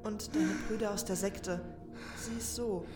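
A woman's voice: mid-range voice, fairly even intonation, measured pace, close to an everyday voice. A woman playing a resolute, comforting female warrior in an audio drama, speaking in an earnest, reassuring way. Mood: gently reassuring. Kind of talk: German fan audio drama acting. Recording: roomy echo, music underneath.

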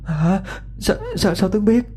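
A man's voice: a little high, a little slow, dark tone, voice pushed up. A man voicing a frightened, timid young female student in a ghost story, stammering and pleading. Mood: terrified.

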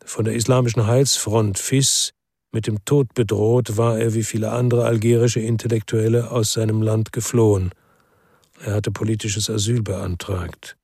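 A man's voice: medium pitch, a little mellow, breathy edge, no echo. An actor playing a weary, brooding ex-policeman who narrates a radio crime drama in the first person.

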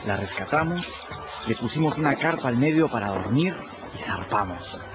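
A man playing a cheeky runaway adolescent boy who narrates his river adventures in a colloquial, animated radio drama style. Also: medium pitch, moderate pace, noisy background, close to an everyday voice. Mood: animated storytelling. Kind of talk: radio drama storytelling in first person. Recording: muffled radio production with music behind.

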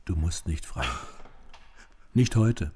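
Slow, mellow coaxing therapist. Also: male voice, low-pitched, expressive, slightly rough, exaggerated voice. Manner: gentle, deliberate, reassuring. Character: patient, coaxing male therapist. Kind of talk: radio drama acting.

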